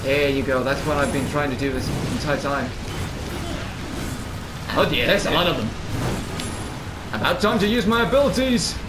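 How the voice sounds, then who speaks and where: A man playing a video game and chatting casually, loud and jokey, swearing freely. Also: a little high, moderate pace, long pauses, very noisy. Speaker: let's play gamer. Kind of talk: casual gameplay chat. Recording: roomy home mic with game music.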